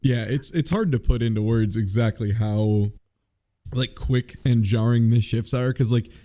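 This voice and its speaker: A man's voice: medium pitch, measured pace. A man talking casually as a podcast panelist, riffing in a jokey, blunt way. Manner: animated riffing, profane, jokey. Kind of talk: casual book club discussion.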